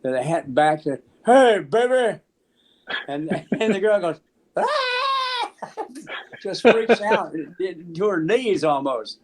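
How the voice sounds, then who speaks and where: An American man in his seventies casually telling anecdotes in an animated, halting way, acting out the dialogue. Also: high voice, over a noisy crowd. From Memphis, United States. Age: 70s. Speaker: interview guest, musician. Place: small room at home, on a video call.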